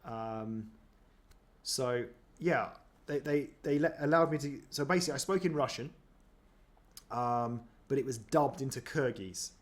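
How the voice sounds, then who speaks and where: A man's voice: mid-range voice, unhurried. A man chatting casually as he reacts to footage of himself, self-deprecating, with many ums and restarts. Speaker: running vlogger.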